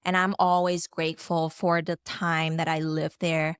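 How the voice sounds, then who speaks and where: A woman's voice: sits low, flat delivery, unhurried, clean tone, no echo, studio quality. A young woman from Vietnam, a Vietnamese speaker, chatting as a podcast guest in an easygoing way with many fillers. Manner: easygoing, laughing, lots of fillers. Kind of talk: casual podcast chat.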